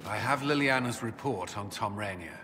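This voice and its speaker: An actor playing a stern, conflicted military advisor in a video game, giving serious, measured briefings. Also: male voice, on the low side, close to an everyday voice. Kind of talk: dramatic video game acting. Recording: reverberant hall, faint background noise.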